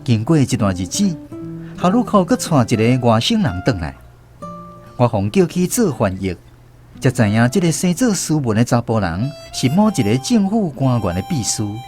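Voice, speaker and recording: A man's voice: medium pitch, sing-song, normal speed, darkish tone, faint music behind. A man with a Taiwanese accent narrating a first-person story expressively for radio drama.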